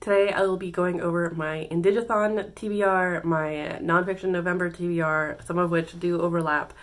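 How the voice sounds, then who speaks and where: A woman with a slightly low voice talks through her book picks for the month in a loose, chatty way. She is still congested from a cold, so her voice sounds a bit stuffy. She fills pauses with ums and often stops to correct herself. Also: fairly even intonation, soft background music. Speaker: book channel host. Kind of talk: casual book recommendations.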